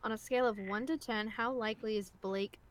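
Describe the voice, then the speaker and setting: A woman's voice: a little high, steady tone, brisk. A woman chatting casually as co-host of a group livestream, fielding questions from viewers. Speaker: co-host reading out chat questions.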